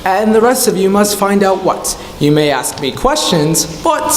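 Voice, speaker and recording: A man playing a good-natured, merry young Victorian gentleman in a staged radio play. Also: high-pitched, animated, measured pace. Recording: echoey hall, faint noise.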